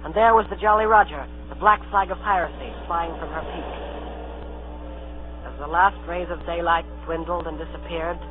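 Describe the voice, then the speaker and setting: A man's voice: fairly high pitch, steady tone, moderate pace, close to an everyday voice. An actor playing a proud, plucky boy adventurer, telling his tale earnestly in old radio drama style. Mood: earnest, suspenseful. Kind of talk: 1930s radio drama acting. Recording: tinny old radio broadcast, music, hum.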